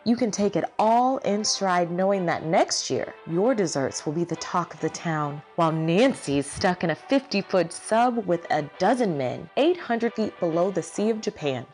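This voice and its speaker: A woman playing a catty announcer in a parody wartime radio commercial, reading a scripted persuasive pitch. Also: expressive, normal speed, few pauses, a little mellow, soft background music, natural voice. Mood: smug, persuasive. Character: catty female pitchwoman in a spoof wartime advert.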